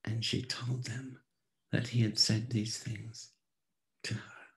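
Male, sixties, solemn theatrical Bible reader, very unhurried, gravelly fry. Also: mid-range voice, little pitch movement, in a whisper. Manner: solemn, theatrical, with hushed pauses. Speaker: scripture reader. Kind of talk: dramatic Bible reading. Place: small room at home. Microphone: close studio condenser with pop filter.

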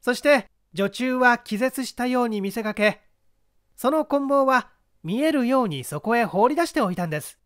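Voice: medium pitch, lively intonation, moderate pace, fairly bright tone, no room sound, studio quality, lightly acted. A narrator voicing a clever schoolboy detective, explaining a crime with methodical assurance in an audiobook reading. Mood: confident, explaining.

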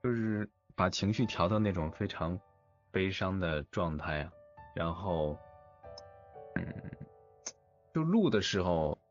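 A man who voice-acts in audio dramas, chatting casually in an interview in a hesitant, thoughtful, halting way. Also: low voice, expressive. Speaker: amateur audio drama voice actor being interviewed. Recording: dull lo-fi voice chat with music. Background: music.

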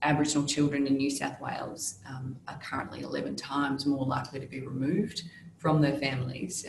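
A woman in her thirties with an Australian accent, giving measured, earnest prepared remarks over a video call from a small office. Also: very deep voice, darkish tone, echoey room, slight background noise, rough recording. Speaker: panelist from a legal service. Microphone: laptop video call.

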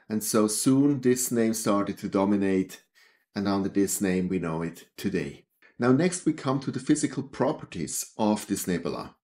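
A man's voice: mid-range voice, darkish tone. Swiss-accented man hosting an astronomy channel. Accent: Swiss German. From Switzerland. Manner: chatty, gestures while talking. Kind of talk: casual educational talk. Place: small home office.

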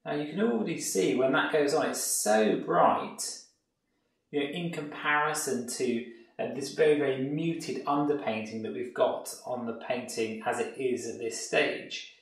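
English art teacher guy explaining his painting as he goes, bit of a gravelly voice, far off from the mic. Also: medium pitch, measured pace. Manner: relaxed explanatory, thinking aloud while painting. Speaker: art tutor. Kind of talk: painting tutorial demonstration. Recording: echoey room, mic set back, soft music.

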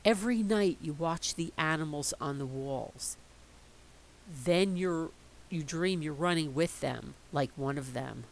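A middle-aged woman reading a picture book aloud in a slow, expressive storytelling voice. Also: deep voice, gravelly, a little hiss or hum, low-quality recording.

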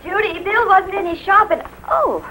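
An actress playing a gentle, affectionate young mother in a 1950s radio drama. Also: very high voice, normal speed, a little hiss or hum, full character voice. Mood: surprised, fond.

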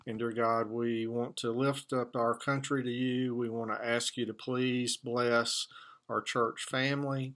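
A man in his sixties, a church elder, giving a gentle, halting devotional talk and prayer from an office, filmed by a desk webcam. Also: mid-range voice. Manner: gentle, hesitant, many fillers. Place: office with wall map, indoors.

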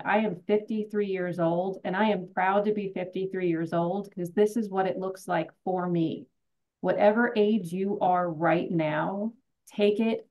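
A woman's voice: mid-range voice, highs cut off. A middle-aged woman presenting on a video call, encouraging and direct as she urges listeners to act. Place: home office, indoors.

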